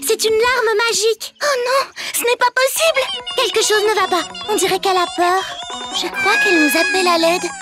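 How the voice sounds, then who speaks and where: A woman voicing a sweet, upbeat, wide-eyed little girl in an animated cartoon. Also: very high-pitched, moderate pace. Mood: amazed, earnest. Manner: cheerful, earnest. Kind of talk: French animated cartoon dubbing. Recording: loud music behind.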